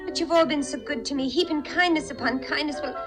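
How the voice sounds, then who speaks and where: Actress as high-voiced, kind-hearted young working woman. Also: fast, lightly acted. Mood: earnest, apologetic. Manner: effusive, reassuring, earnest. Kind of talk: 1950s radio drama acting. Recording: vintage radio recording with music.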